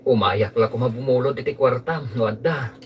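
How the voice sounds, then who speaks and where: A man's voice: mid-range voice, a little fast, far from the mic, slight background noise, close to an everyday voice. A man playing a needy, pleading debtor in a radio drama, with an Ilocano accent. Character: needy, desperate male neighbor begging a loan.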